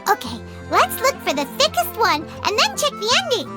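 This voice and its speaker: A woman voicing a small, childlike female fairy companion in an anime video game, squeaky, perky and blunt. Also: wildly swooping pitch, moderate pace, exaggerated voice. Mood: excited, searching. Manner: exclaims brightly, speaks of herself in third person. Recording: loud game music underneath.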